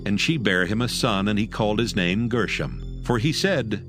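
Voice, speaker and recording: A man's voice: lowish voice, expressive, normal speed. A man narrating scripture as storyteller in a dramatized audio production. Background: music.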